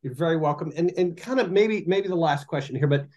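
A woman radio host interviewing a musician, encouraging and a bit halting, with restarts. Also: sits low, dark tone. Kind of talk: casual interview.

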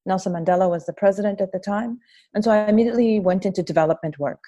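Mellow, measured South African woman guest. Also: mid-range voice, lo-fi sound. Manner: reflective, measured, with fillers. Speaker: guest, author. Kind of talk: podcast interview.

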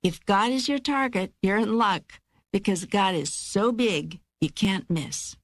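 A woman playing God as a wry, wise, reassuring spirit in an audiobook dialogue, with a measured, assured, instructive delivery. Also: mid-range voice, no echo, studio quality, lightly acted.

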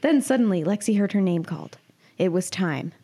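A woman's voice: mid-range voice, animated, fairly quick, mellow, husky. A woman in her twenties, a giggly podcast host reading her comic writing and chatting casually. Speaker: podcast host and amateur writer. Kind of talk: reading own comic story, casual chat.

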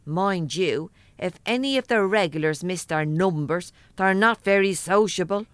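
A woman's voice: lowish voice, moderate pace, clear, resonant tone, no echo, close to an everyday voice. An actress playing a cheeky, blunt working-class cleaning woman with an Irish accent, chatting in an audio drama. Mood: enthusiastic.

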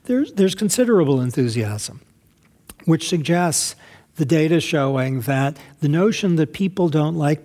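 A man's voice: on the high side, darkish tone. Hesitant man answering audience questions. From United States. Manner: thoughtful, hesitant with many fillers and restarts. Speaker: academic speaker responding to questions. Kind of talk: lecture response to audience questions.

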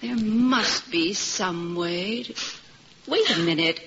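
A woman's voice: medium pitch, slow, lightly acted. An actress playing a plucky, motherly married woman in an old-time radio drama. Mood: reassuring. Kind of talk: 1950s radio drama acting. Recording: hissy, muffled old radio recording.

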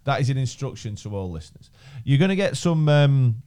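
A man's voice: medium pitch, very animated, a little fast, dark tone. A man, hosting a podcast in a studio in a joking, rambling way that repeats phrases. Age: 30s. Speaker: podcast host. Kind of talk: casual chat.

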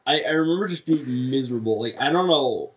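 A man's voice: medium pitch, lively intonation, a little fast, crowd noise. Laid-back young man, slightly muffled. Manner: laid-back, swears casually, trails off. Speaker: podcast co-host. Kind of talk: casual music chat.

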